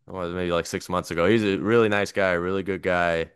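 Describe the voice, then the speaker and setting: A man's voice: low-pitched, fairly even intonation, brisk, clean tone, no echo, clean studio sound. An American man hosting a podcast, asking chatty, rambling questions with lots of fillers. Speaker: podcast host. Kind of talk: casual interview.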